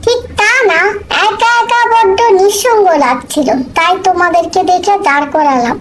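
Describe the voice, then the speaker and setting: Tiny, very high-pitched anxious mother bird. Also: moderate pace, background noise. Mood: friendly, wistful. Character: small female bird, anxious mother guarding eggs. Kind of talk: Bengali children's animal cartoon dubbing.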